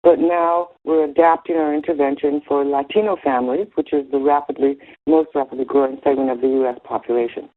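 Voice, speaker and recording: Female researcher on a thin telephone connection, plain measured explanations on a group call. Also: sits low, fairly even intonation. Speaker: researcher calling in. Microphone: telephone conference call. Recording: tinny narrowband phone line.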